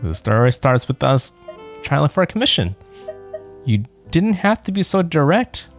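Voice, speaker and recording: A man's voice: fairly high pitch, sing-song, normal speed. A man giving casual let's play commentary as a streamer, musing in a rambling, questioning way. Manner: rambling, musing, often ends on a questioning "right?". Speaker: streamer playing a game. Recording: game music behind the voice.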